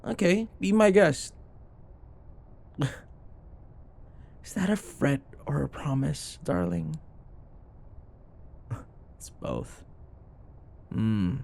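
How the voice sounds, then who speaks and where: A smug, flirtatious male office rival played by a man in an intimate ASMR roleplay. His delivery is unhurried and very animated in pitch, teasing and sometimes murmured, with faint noise behind it. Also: gravelly, exaggerated voice.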